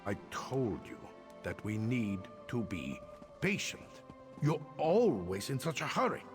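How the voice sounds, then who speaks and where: An actor playing an irritated conspirator in a fantasy video game, scolding in a deliberate, exasperated way. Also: male voice, medium pitch, sing-song, over music. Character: irritated, patient male conspirator scolding an accomplice.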